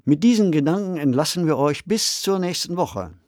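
A middle-aged man co-hosting a podcast discussion in a measured, reflective, explanatory manner. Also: on the high side, lively intonation, a little mellow, no echo, studio quality, faint music behind. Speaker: podcast co-host and author.

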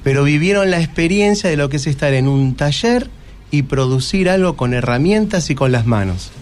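A man's voice: on the high side, animated, normal speed. A man with an Argentine Rioplatense accent speaking informally as a guest, explaining things step by step. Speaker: school staff member, guest. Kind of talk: informal explanatory interview talk.

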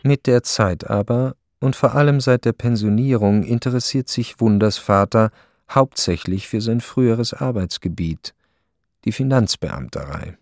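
A man narrating a radio play as a detached, precise storyteller, matter-of-fact and deadpan. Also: lowish voice, unhurried, no room sound, clean studio sound.

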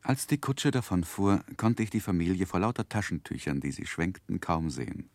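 An actor playing an earnest grown man who narrates his boyhood memoir in measured, reflective literary style for a 1960s radio drama. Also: deep voice, very animated, creaky, a little mellow, no room sound, heavily acted.

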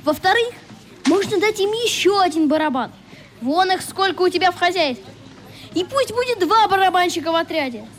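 Bossy schoolboy for radio drama, really high voice, sarcastic, swings up and down a lot. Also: female voice, lively intonation, a little fast. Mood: insistent. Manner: argumentative, sarcastic, colloquial. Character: bossy, warm-hearted schoolboy Seryozha, sarcastic and stubborn. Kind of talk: radio play acting.

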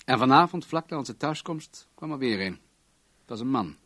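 An actor in a Dutch radio drama playing an imperious, self-satisfied millionaire with a grand, commanding manner. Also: male voice, medium pitch, animated, moderate pace, a bit bright. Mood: assured, defiant.

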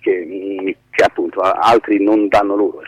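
A man's voice: low-pitched, level tone, no echo, low-quality recording. A man speaking as an interview guest in an informal, hesitant way, repeating words as he searches for the next. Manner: hesitant, halting, repeats words, casually profane.

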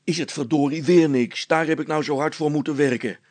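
A man's voice: fairly high pitch, fairly quick, darkish tone, no echo, voice pushed up, natural voice. A man voicing a wily, grumpy workman in a storybook, blunt and prone to stammered excuses. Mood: grumpy, sceptical. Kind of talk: storybook character acting.

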